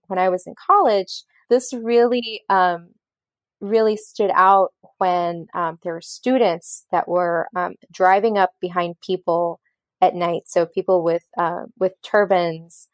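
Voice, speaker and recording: A woman's voice: medium pitch, dry recording. Rambling woman guest with an American accent. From Colombia. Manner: rambling, many fillers and restarts. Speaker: podcast guest. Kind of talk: casual storytelling interview.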